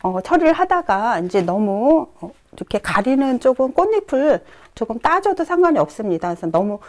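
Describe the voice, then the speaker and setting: A middle-aged woman giving a calm flower arranging lesson in a studio, pausing often as she works. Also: a little high. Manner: calm instructive, many "eo" pauses while working. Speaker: floral design instructor. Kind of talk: step-by-step demonstration lesson. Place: bright indoor studio.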